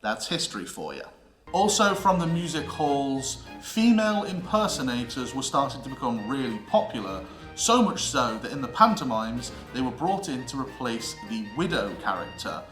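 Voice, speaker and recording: A British man presents an educational script in a bright, forceful voice. His delivery is theatrical and emphatic, broken by deliberate pauses. The room adds noticeable echo, and music plays underneath. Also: medium pitch, very animated. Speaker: presenter of a theatre history series.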